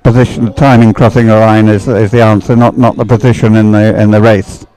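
Mellow-voiced male race commentator. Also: lowish voice, flat delivery, a little fast, low-quality recording. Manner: conversational, hesitant, musing aloud. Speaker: sports commentator. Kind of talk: live dragon boat race commentary.

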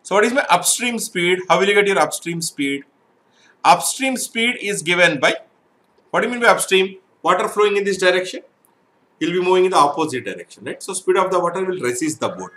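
A man's voice: high voice, expressive, brisk, pushed and bright. A middle-aged man teaching an exam-prep math lesson online, explaining step by step and often checking "right?" with his students.

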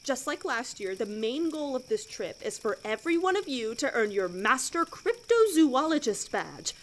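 Woman playing scout leader, audio drama, cheery, instructing, lively melody, faint outdoor noise. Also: sits high, moderate pace. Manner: chirpy, announcing, instructing. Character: relentlessly cheery female scout leader, blithely macabre.

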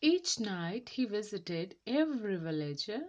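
A woman reading a children's story aloud in a careful, deliberate and expressive way. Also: medium pitch, lo-fi sound. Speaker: storybook reader.

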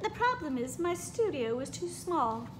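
A woman's voice: high voice, very animated, measured pace, noisy background, voice pushed up. A woman voicing a kindly, fussy dance teacher who speaks in a gracious, motherly way.

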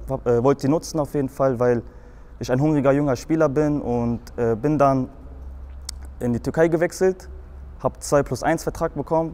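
A young man from Frankfurt with a light Hessian accent, recounting his past. He rambles, and the telling is full of hesitations and restarts. His voice sits in an ordinary middle pitch. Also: dark tone. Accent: Hessian German. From Germany, Frankfurt. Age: young adult. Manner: rambling, many fillers and restarts. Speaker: guest in a show video. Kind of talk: telling his life story.

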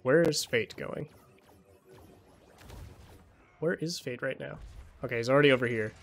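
A man's voice: medium pitch, a little fast. A young man reviewing an esports match as a coach, thinking aloud with many fillers, his voice tired after hours of streaming, on a home streaming mic. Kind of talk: casual match review commentary.

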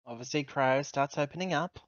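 A man's voice: a little high, a little fast, dry recording, highs cut off. A man chatting casually as a player in a tabletop roleplaying game.

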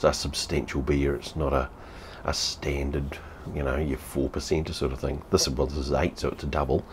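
A man's voice: a bass voice, level tone, normal speed, a little hiss or hum. A New Zealand man in his fifties reviewing beer at home on camera, blunt and sweary, repeating himself as he talks. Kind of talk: casual vlog review. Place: home room with TV behind.

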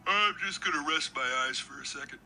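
An actor playing a tired, laid-back office worker in a video game, casual and grumbling. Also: male voice, a little high, a little fast, bright, forceful tone, a little hiss or hum. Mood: sleepy, casual. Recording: music behind the voice.

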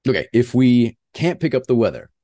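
A man's voice: medium pitch, big pitch swings, fairly quick, mellow, no echo, clean studio sound. A man who is a flight instructor, giving a plainspoken slide lecture on flying with frequent filler uhs.

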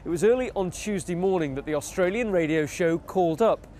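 A man's voice: high-pitched, a little fast, a little mellow. A man delivering a scripted news report as a television reporter.

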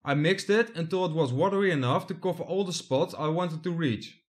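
High-voiced young man narrating a build. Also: a little fast. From Netherlands. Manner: plain, step-by-step explaining. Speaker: hobby builder narrating his project. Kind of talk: scripted voice-over narration.

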